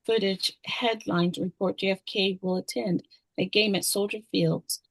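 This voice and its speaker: A woman's voice: medium pitch, fairly even intonation, measured pace, dry recording, rough recording. A woman narrating the stage directions of a screenplay in a scripted read-through.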